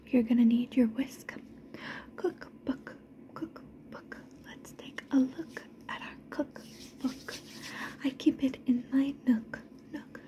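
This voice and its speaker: Whispering woman repeating trigger words. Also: high-pitched. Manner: gentle, playful, soothing. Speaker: ASMR creator. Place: indoors at home.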